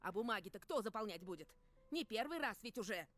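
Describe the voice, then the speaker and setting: A woman playing a stern, fast-talking schoolteacher. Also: mid-range voice, lively intonation, fairly bright tone, no room sound, close to an everyday voice. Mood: scolding. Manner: scolding, rapid-fire, exasperated. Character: stern, flustered female schoolteacher, middle-aged. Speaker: game character voice.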